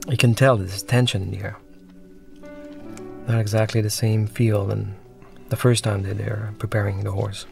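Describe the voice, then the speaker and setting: A man with a fairly deep voice and a noticeable fry talks through his own film. He explains scenes in a reflective, halting, conversational way, with soundtrack music clearly underneath. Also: level tone. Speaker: filmmaker giving commentary on own film. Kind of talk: unscripted film commentary, conversational.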